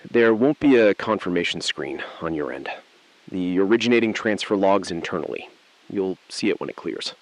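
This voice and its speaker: An actor playing a calm, evasive chief financial officer in an audio thriller, speaking in a measured, polite, guarded way. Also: male voice, sits low, low-quality recording.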